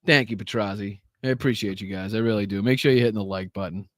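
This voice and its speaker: A man's voice: lowish voice, quick pace, dry recording, clean studio sound. A man with a Boston accent hosting a livestream, commenting in a crude, profane, mocking way. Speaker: livestream host. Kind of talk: live reaction commentary.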